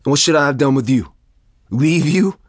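An actor playing a troubled, protective husband and expectant father in a naturalistic audio drama, speaking in measured, short phrases. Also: on the high side, expressive, dry recording, studio quality. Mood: indignant.

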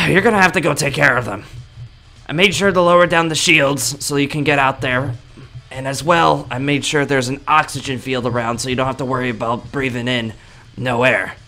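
A man's voice: medium pitch, fairly even intonation, normal speed, sharp tone, faint music behind. A man voicing an urgent young ally who gives warnings and orders in a video game roleplay. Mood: serious, instructing. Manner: urgent, instructing. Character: urgent young male tower-bound ally warning of invaders.

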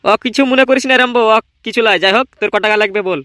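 A man's voice: high-pitched, racing, pushed and bright, dry recording, clean studio sound. A man voicing a bossy but obliging village boss in a comedy cartoon, talking colloquially. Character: easily swayed village boss, an adult man. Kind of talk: Bengali comedy cartoon voice acting.

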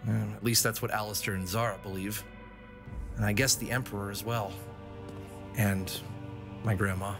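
A man playing an adventurous, quick-thinking fox explorer in an audio drama, with an excitable, earnest manner. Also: on the low side, lightly acted. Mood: thoughtful, intrigued. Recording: loud music under the voice.

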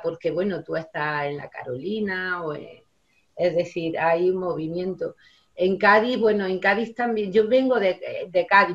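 A woman in her sixties, a teacher, talking in a rambling way full of fillers and tag questions in a casual video-call interview. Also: lowish voice. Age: 60s. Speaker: guest, special education teacher and union member. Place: home study with bookshelves. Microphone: laptop webcam on a video call. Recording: dull lo-fi video call audio.

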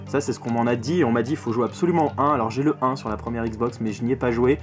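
A man's voice: medium pitch, fast, soft background music. A man giving a casual video game review, opinionated and emphatic, often repeating words and swearing. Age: 20s. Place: small bedroom at home, indoors.

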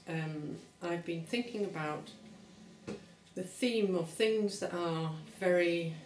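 A woman's voice: low voice, darkish tone, a little hiss or hum. An older woman, an artist, reflectively explaining a monument she is building. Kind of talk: casual explanation of her artwork. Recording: echoey room.

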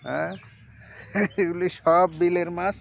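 A man's voice: high voice, flat delivery, measured pace, gravelly. A man hosting a casual fishing vlog, chatting and commenting outdoors. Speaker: host filming a fishing vlog. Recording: outdoor recording with birdsong and noise. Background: birdsong, faint ambient noise.

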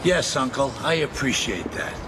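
An actor playing a confident mafia don in a game, speaking respectfully. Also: male voice, medium pitch, very animated, moderate pace, natural voice. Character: deferential but confident male mafia don. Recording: outdoor vehicle noise behind.

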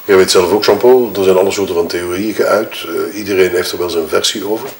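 Guy acting as flemish widowed husband, lowish voice, formal n rambling, keeps correcting himself, defensive, echoey room. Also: a little fast, slight background noise. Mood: dismissive, defensive. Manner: hesitant, wordy, formal, self-correcting. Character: wronged, self-justifying widowed husband giving his statement. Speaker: actor in an audio murder-mystery game. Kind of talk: acted improvised-sounding testimony. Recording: roomy echo.

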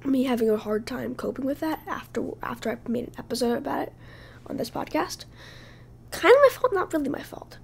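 A woman hosting her own podcast, speaking casually while hoarse from losing her voice, halting and often dropping to a breathy whisper. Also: sits high, expressive. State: hoarse, lost voice. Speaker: podcast host. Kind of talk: casual solo podcast talk.

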